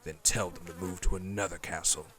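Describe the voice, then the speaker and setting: A man's voice: sits low, steady tone, brisk, fairly bright tone. A man playing a haughty, power-hungry prince in a dramatic audio roleplay, with a contemptuous, menacing and formal delivery. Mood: sneering. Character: cold, haughty, power-hungry prince who scorns weakness.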